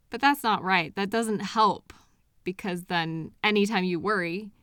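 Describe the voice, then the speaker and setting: A woman's voice: medium pitch, fairly bright tone, smooth, no room sound, close to an everyday voice. A woman acts as a devoted, patient girlfriend in an intimate audio roleplay. She takes her time over each phrase, tender and reassuring as she comforts an anxious partner. The sound is very dry and close, with no room around the voice. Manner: tender, reassuring, unhurried pauses with sighs.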